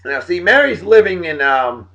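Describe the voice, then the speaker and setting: Hoarse, upbeat New England man. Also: sits high, very animated, normal speed, pushed and bright, highs cut off. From Massachusetts. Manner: upbeat, hype-filled and chatty, with throat clearing. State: hoarse, losing his voice. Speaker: video blog host. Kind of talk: casual beer review vlog.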